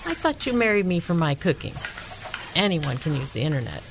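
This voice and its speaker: A woman plays a matter-of-fact diner cook in a radio play. Her voice is a little low and dry, with clear sizzling kitchen noise behind it. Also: big pitch swings, a little fast, exaggerated voice. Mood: dry, brisk.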